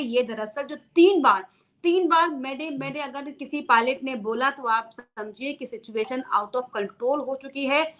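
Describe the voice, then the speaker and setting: A woman's voice: sits high, fairly bright tone. A woman news presenter giving an unscripted explainer to viewers, repeating key phrases for emphasis.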